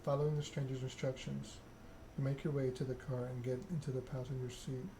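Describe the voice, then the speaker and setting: A man reads a story game aloud in a soft ASMR style. His pitch is middling and stays quite flat, with clear noise behind him. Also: fairly quick. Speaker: narrator reading a video game aloud.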